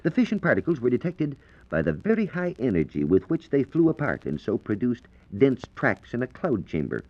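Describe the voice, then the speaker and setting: A man's voice: medium pitch, wildly swooping pitch, brisk, a little mellow. A man with an American accent giving a formal, explanatory scripted science lecture as a 1940s radio reporter. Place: radio studio. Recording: dull, lo-fi 1940s radio recording.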